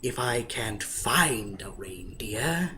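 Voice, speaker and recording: A man's voice: lowish voice, expressive, pushed and bright, pitched below the performer's own voice, heavily acted. A man voicing a sour, scheming creature who hates Christmas, with a growly, hissing, sneering delivery in a storybook reading. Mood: sly, scheming. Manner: snarling, drawn-out menacing delivery.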